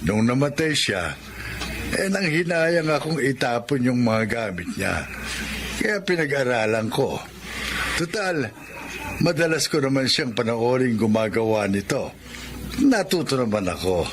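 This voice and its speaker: Man playing a gentle lonely old grandfather. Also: medium pitch, very animated, unhurried. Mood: wistful. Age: older. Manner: soft, wistful, chuckling often. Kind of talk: radio drama acting. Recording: noisy background.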